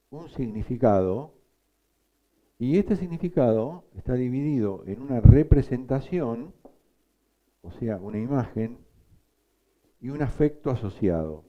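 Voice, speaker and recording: A man teaching a psychoanalysis class, explaining slowly and quizzing his students. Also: medium pitch, dull audio. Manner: slow, explanatory, asks the class questions. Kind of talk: lecture.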